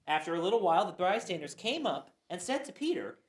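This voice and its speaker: A woman giving a dramatic Bible reading, expressive, with occasional stumbles. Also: on the low side, fast talker. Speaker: scripture reader. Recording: roomy echo.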